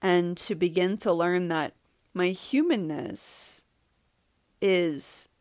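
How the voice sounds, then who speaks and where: A woman's voice: fairly low pitch, slow, a little mellow, dry recording, studio quality. A woman in her thirties hosting a podcast, speaking candidly and confessionally in a reflective personal monologue. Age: 30s. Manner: candid and confessional, with laughs and repetitions. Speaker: podcast host.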